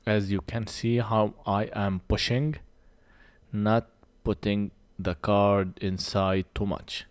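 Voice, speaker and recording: A man who speaks English as a second language gives a hands-on repair tutorial in halting short phrases, a little short of breath. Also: fairly low pitch, little pitch movement, smooth, no room sound. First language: not English. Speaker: phone repair technician presenting a tutorial.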